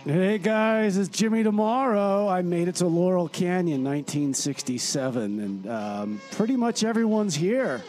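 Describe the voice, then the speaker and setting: Gossipy laid-back traveler, played by a man, higher male voice, confiding radio-comedy monologue over music. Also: lively intonation, moderate pace, few pauses, darkish tone. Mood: breezy.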